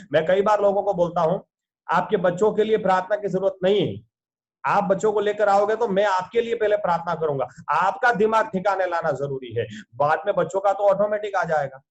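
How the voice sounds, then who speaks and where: A man with a notably high voice delivers an earnest sermon as a pastor over a video call. He often stops to pose rhetorical questions to his listeners. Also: highs cut off.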